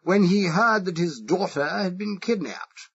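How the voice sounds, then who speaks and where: A man's voice: sits high, lively intonation, unhurried, slightly rough, pitched above the performer's own voice, heavily acted. Man reading: dithering posh English secretary, exaggerated upper-class accent, dull lo-fi sound. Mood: flustered posh. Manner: flustered, dithering, pompous. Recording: dull lo-fi recording.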